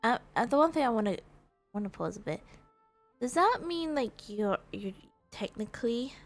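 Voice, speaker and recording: A woman streamer giving casual, rambling gameplay commentary, reacting and thinking out loud. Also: on the high side, animated, normal speed, faint music behind. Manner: rambling, musing aloud, reactive. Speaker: streamer playing a game.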